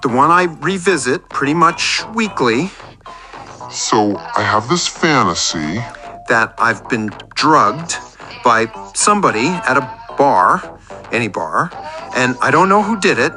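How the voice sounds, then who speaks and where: A man's voice: mid-range voice, very animated, measured pace, sharp tone, faint music behind, full character voice. A man playing an earnest, lonely, oversharing oddball client in a radio comedy, rambling in odd detail. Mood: earnest, dreamy. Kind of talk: scripted radio comedy monologue.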